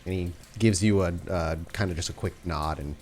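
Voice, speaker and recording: A man working as game master, narrating a tabletop game in a cinematic, deliberate and dramatic way. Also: sits low, a little mellow, a little hiss or hum.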